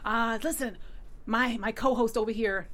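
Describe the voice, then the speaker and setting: A woman's voice: a little high, normal speed, fairly bright tone. A woman hosting a studio TV recap show, bubbly and teasing, laughing often between lines. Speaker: show host. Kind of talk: casual TV recap chat.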